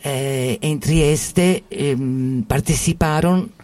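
Brief: man, Italian accent, halting, filler-heavy discussion talk. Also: on the high side, darkish tone. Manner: halting, searches for words, many fillers. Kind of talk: casual discussion.

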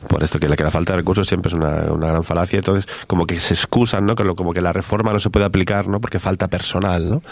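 A man's voice: sits low, fairly even intonation. A man talking in a group discussion, stumbling over his words and adding tag questions. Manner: quick, stumbling, fills with "¿no?". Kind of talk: discussion about psychiatry.

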